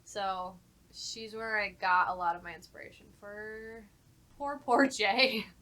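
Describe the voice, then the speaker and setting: Bright-voiced woman in casual chat. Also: mid-range voice, takes it slowly. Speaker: voice actor. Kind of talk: casual group podcast chat.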